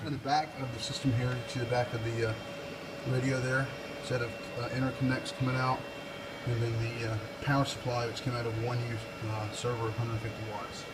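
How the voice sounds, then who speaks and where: Male tech presenter, informal and unscripted, very even intonation, handheld camera audio with clear background noise. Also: medium pitch. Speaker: video presenter, DJ and PA technician. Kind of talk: casual off-the-cuff equipment walkthrough. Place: indoors, brick-walled workshop building. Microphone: handheld camera or phone, speaker off screen. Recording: noisy handheld camera audio with room ambience.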